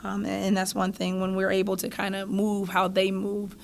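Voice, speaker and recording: Woman coach with a slightly gravelly voice. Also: mid-range voice, completely flat delivery, normal speed. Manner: thoughtful, repeats words when starting phrases. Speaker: head basketball coach. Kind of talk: post-game press conference answers. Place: press room in front of a logo backdrop. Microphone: table mic in front of her.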